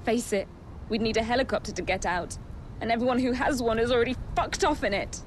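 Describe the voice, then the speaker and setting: A woman's voice: on the high side, fairly quick, slight background noise, lightly acted. An actress playing a jaded, self-absorbed female celebrity stranded in a zombie apocalypse, cynical and sardonic, in a video game. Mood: gloomy, cynical. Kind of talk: video game cutscene acting.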